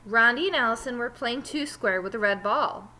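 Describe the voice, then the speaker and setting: A woman teacher reading a children's story aloud with animated, emphatic delivery. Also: on the high side, moderate pace, a little hiss or hum.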